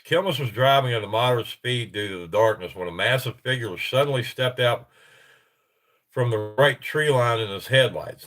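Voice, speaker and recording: A man's voice: medium pitch, a bit bright. A middle-aged man with a stuffy, congested voice, hosting a casual livestream and reading reports aloud with rambling, chuckling asides. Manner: rambling, chuckling, self-interrupting. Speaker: livestream host.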